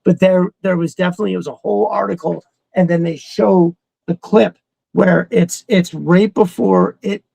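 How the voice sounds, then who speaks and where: A middle-aged man co-hosting a casual fan chat, talking in an excitable, rambling, profanity-laced way. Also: high-pitched, moderate pace, vocal fry, no echo. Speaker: podcast co-host.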